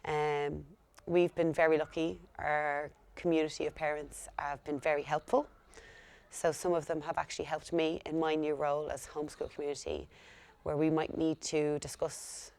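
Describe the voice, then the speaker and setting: Woman, young adult, low-pitched, earnest explainer, school coordinator. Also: a little mellow. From Ireland. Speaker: school home-school liaison coordinator. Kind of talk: speaking to camera about her work.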